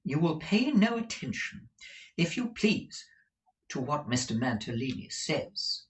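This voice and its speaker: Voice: medium pitch, big pitch swings, a little slow, voice pushed up. A reader voicing an imperious, proper woman who runs a business, formal and emphatic in manner, in a storybook. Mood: stern. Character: imperious businesswoman, brisk, proper shop proprietress wife.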